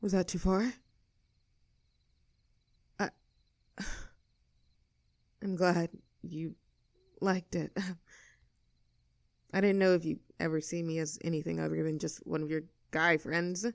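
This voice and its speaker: A woman playing a creaky-voiced, caring young tomboy. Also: on the low side, flat delivery, no echo, clean studio sound. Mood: tender, shy. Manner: casual, teasing, tender, halting when flustered. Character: easygoing, caring young tomboy friend with a secret crush. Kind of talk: intimate scripted audio roleplay.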